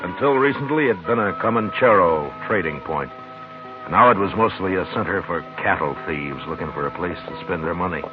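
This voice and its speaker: Very deep-voiced, gruff frontier marshal. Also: male voice, lively intonation, a little fast, over music. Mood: reflective narration. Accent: American. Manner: dry, laconic, skeptical. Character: gruff, dry, world-weary frontier US marshal. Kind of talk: 1950s radio western acting and narration. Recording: muffled, hissy old radio broadcast recording.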